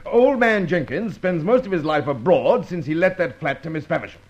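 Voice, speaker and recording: A man acts out an irate police superintendent in a vintage radio play. His voice rides high and swings widely as he scolds with brusque sarcasm. The recording is dull and dated. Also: lively intonation, normal speed, natural voice. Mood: exasperated. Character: blustering, irate Scotland Yard police superintendent. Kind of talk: old radio drama acting.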